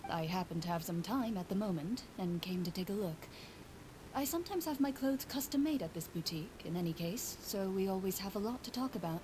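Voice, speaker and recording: A woman voicing a composed adult woman in a game who speaks calmly and in a measured way. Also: mid-range voice, close to an everyday voice. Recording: game audio under stream noise.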